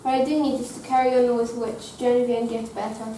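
A young student playing a gentle young princess in a school play, reading earnestly from her script. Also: fairly high pitch, level tone, quick pace, a little hiss or hum, close to an everyday voice. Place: school drama room, indoors. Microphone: far camera. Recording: echoey room from far off.

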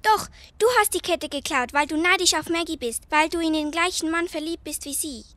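A woman's voice: very high voice, brisk, a bit bright, no room sound. A young woman acting a blunt, nosy girl detective in an amateur radio play. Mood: accusing.

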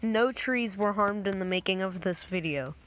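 A young woman casually commenting on a driving game, voice a little raspy from just waking up, rambling in a playful way. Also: mid-range voice, moderate pace, slight background noise. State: slightly raspy, just woken up. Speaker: gameplay video maker. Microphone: phone screen recorder.

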